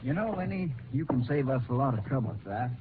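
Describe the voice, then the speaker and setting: An actor playing a folksy, plainspoken and skeptical small-town sheriff in an old radio crime drama. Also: male voice, medium pitch, animated, fast, lightly acted. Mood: skeptical, pressing. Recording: tinny, noisy old radio recording.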